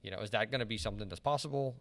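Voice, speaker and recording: A man's voice: lowish voice, rapid-fire, a bit bright. A man in his late thirties hosting a casual interview, rambling and often checking in with "right?". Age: late 30s. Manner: rambling, frequent "right?" check-ins and restarts. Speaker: podcast host.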